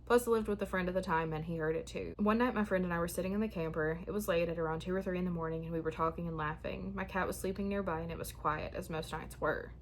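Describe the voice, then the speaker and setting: A young woman who hosts a spooky story channel, chatting frankly and then reading a listener's tale aloud, her voice starting to go hoarse. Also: fairly low pitch, quick pace, few pauses, a little hiss or hum. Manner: chatty, frank and a bit frazzled when talking, steady when reading. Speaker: horror story channel host. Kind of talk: casual chat and reading listener stories aloud.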